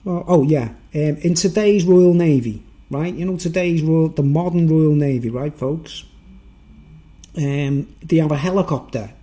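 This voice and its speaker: A man's voice: on the high side, measured pace, dark tone. A man from the Wirral with a Merseyside accent, giving an informal, digressive, unscripted monologue to viewers and often tagging on questions for agreement. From Wirral, north-west England. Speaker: vlogger.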